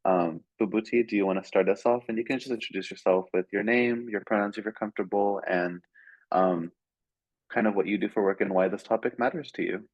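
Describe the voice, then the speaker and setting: Deep-voiced man moderating an online panel. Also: steady tone, brisk, dry recording. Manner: friendly, organized, with frequent "um"s. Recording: dull, dry, lo-fi call audio.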